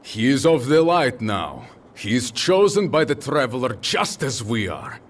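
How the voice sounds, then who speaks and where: A man playing a noble, earnest warrior in a fan audio drama, speaking in measured, impassioned oratory. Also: medium pitch, sing-song, far from the mic. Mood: rousing, resolute. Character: noble, earnest male warrior rallying his comrades with solemn conviction.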